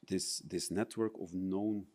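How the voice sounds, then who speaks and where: Low-voiced Belgian man presenting. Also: steady tone, slow, darkish tone. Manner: hesitant, many fillers and restarts, non-native phrasing. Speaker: conference presenter. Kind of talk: conference presentation.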